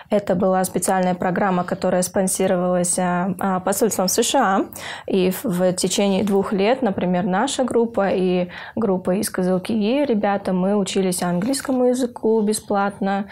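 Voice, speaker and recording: Young female student from Kyrgyzstan, earnest and hesitant, medium pitch, interview read. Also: mellow. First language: Russian. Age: young adult. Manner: earnest, with hesitant filler sounds and restarts. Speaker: university student. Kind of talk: interview for a university news feature.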